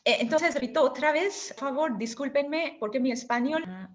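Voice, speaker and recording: A woman's voice: high-pitched, normal speed. A woman whose first language is Bengali, opening a lecture over a video call, speaking hesitantly with many fillers and apologies. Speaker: guest lecturer. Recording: dull lo-fi video call audio.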